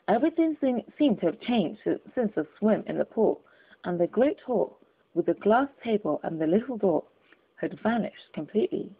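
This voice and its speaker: Storybook reader, a woman, lively intonation, tinny phone-like audio. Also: medium pitch, moderate pace, husky. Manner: expressive, careful reading. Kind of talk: storybook narration.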